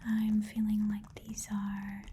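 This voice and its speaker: A woman voicing a chatty, haggling goblin pawn shop keeper in a breathy whispered ASMR roleplay, with casual muttered asides. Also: medium pitch, monotone, slow pace, warm tone, slight background noise, lightly acted. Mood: appraising, doubtful.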